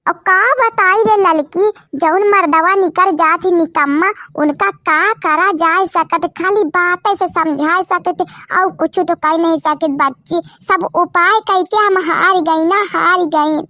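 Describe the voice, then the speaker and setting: A woman's voice: extremely high pitch, unhurried, pushed and bright, no room sound, heavily acted. A woman voicing folksy, dramatic village women in a cartoon comedy, with an Awadhi accent and a childlike voice. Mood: chatty, concerned. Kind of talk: cartoon comedy dialogue in rural dialect.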